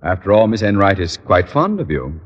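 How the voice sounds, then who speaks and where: A man playing a pompous, blustering high school principal in old-time radio comedy, speaking in formal, officious phrases. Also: big pitch swings, normal speed, slightly rough, dull audio, exaggerated voice. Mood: smooth, self-important. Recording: noisy old radio recording, reverberant hall.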